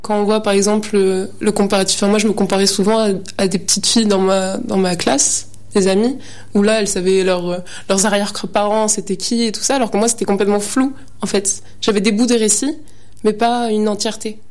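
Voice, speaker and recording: A woman's voice: mid-range voice, fairly even intonation, fairly quick, darkish tone. Seventeen-year-old girl, searching for words. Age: teens. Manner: hesitant, searching, many fillers. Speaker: high school student interviewee. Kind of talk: reflective testimony.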